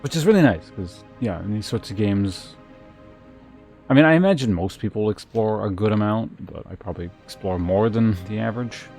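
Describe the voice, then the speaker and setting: A man with a fairly low voice streams a video game, talking casually as he goes. He thinks aloud and mutters questions to himself. Faint-to-clear game music plays behind him. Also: lively intonation, normal speed, a little mellow. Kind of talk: casual live game commentary.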